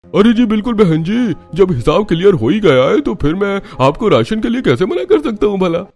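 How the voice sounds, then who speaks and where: A man's voice: high voice, sing-song, normal speed, darkish tone, heavily acted. A man playing an obliging, eager-to-please shopkeeper in a cartoon story. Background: music.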